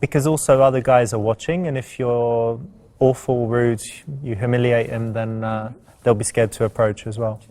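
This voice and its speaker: A man's voice: medium pitch, steady tone, normal speed, mellow, a little hiss or hum. A man giving casual, unscripted advice commentary in a hesitant, explanatory way. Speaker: commentator explaining the right choice.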